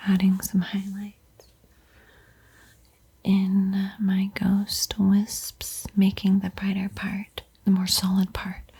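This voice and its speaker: A woman giving a gentle, encouraging art tutorial in a soft, breathy ASMR whisper. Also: medium pitch. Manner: slow, gentle, encouraging instruction. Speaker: host teaching a beginner painting tutorial.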